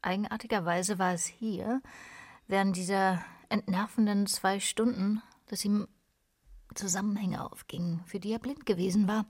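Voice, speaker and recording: A woman's voice: mid-range voice, fairly even intonation, moderate pace, a little mellow, lightly acted. A woman giving scripted narration of a literary story in a radio play. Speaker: narrator and actress. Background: music.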